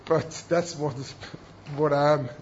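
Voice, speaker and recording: High-pitched Norwegian man giving a seminar. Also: fairly even intonation, a little mellow, slight background noise. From Norway. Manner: halting non-native English with emphatic repetition. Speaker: church planting trainer. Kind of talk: seminar talk. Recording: dull, lo-fi sound.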